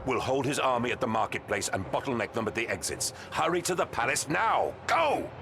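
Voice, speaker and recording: A man's voice: medium pitch, very animated, brisk, creaky, background noise. A man playing an urgent, commanding battle leader in a video game.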